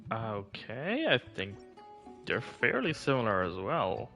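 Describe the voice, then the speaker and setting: Man, game streamer, casual commentary, thinks aloud, animated, bright. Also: fairly low pitch, takes it slowly, creaky, faint music behind. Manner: thinks aloud with fillers and rhetorical questions.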